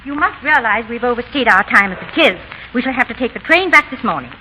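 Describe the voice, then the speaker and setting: A bossy, exasperated Englishwoman, rattling along in a bright, forceful, fairly high voice. She is blunt and disapproving, in a noisy old radio drama recording. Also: lively intonation. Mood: exasperated, scolding. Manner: brisk, scolding, blunt. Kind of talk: 1930s radio drama acting. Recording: noisy 1930s radio broadcast.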